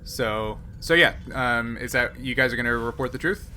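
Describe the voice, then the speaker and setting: A man's voice: medium pitch, normal speed, pushed and bright, slight background noise. A man narrating as game master of a tabletop roleplaying game, explaining in a conversational way. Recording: music playing behind the voice. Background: music.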